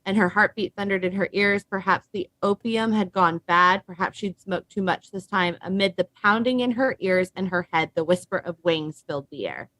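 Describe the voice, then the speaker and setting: Woman guest for a book chat, mid-pitched voice, very dry sound, conversational. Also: moderate pace, few pauses, a bit bright, no room sound, poor audio. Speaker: discussion guest. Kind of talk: casual book discussion with read-out passages.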